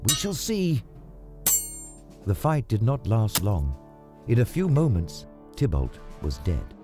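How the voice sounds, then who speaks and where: A man narrating a scripted story with dramatic, deliberate enunciation. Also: fairly low pitch, sing-song, over music.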